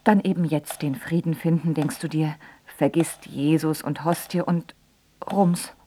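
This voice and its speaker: A woman's voice: low-pitched, fairly even intonation, a little slow, dark tone, slight background noise. An actress playing a bitter, ironic grown daughter who tells of her father in a dramatic radio play monologue. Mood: intense, ironic. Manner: sardonic, dramatic monologue with sudden exclamations. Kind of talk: radio play narration and dialogue.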